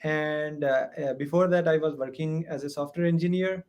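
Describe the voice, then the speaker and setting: Earnest Indian-accented man, slightly high. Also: steady tone, darkish tone, clear, resonant tone. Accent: Indian English. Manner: earnest, careful, with many "uh" pauses. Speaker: student, software entrepreneur. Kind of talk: English conversation practice. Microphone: video call.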